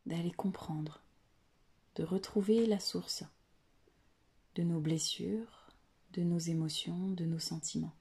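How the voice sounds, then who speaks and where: A woman guides a meditation in a very husky, breathy voice that often sinks toward a whisper. She speaks very slowly and deliberately, leaving long pauses between short phrases. Her tone is mellow and soothing, pitched a little low. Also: fairly even intonation. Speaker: yoga nidra guide.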